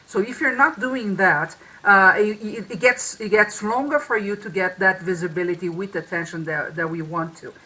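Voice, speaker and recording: A woman's voice: mid-range voice, moderate pace, hardly any pauses, pushed and bright, rough recording. A woman co-hosting a marketing talk over a video call, with a strong voice and a loose, restarting way of speaking. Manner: many restarts and fillers. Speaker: co-host. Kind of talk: casual webinar talk.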